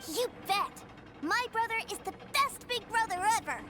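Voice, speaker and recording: A woman's voice: very high-pitched, animated, normal speed, over loud music. A woman voicing an innocent, eager little boy in a video game, with a childlike voice. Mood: excited. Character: eager innocent little boy adoring his big brother.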